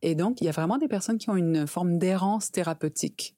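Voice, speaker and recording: A woman's voice: on the low side, fairly quick, warm tone, no echo, studio quality. A woman in her forties explaining things conversationally as an interview guest, emphatic and given to acting out little quotes. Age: 40s. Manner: emphatic, illustrates points with mimicked quotes. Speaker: interview guest, reproductive health specialist.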